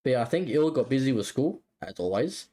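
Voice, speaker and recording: A man's voice: mid-range voice, animated, a little fast, warm tone. A man co-hosting a podcast in casual chat, explaining things in a hesitant, rambling way. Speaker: podcast co-host.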